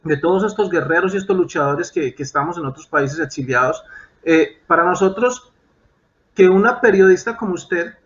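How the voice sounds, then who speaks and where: A man from Colombia with a Colombian accent, interviewing in a wordy, halting way and repeating himself. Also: sits high, fairly bright tone, dull audio. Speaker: interviewer, exiled activist. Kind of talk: live interview.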